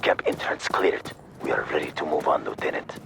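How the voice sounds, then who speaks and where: An actor playing a terse Soviet squad soldier making flat military radio reports. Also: male voice, extremely low pitch, moderate pace, creaky, full character voice. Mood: terse, focused. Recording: very loud aircraft and vehicle noise.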